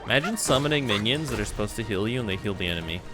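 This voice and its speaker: A man's voice: lowish voice, animated, rapid-fire, over loud music. A man giving casual, profane gameplay commentary as he streams, often repeating short phrases. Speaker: video game streamer.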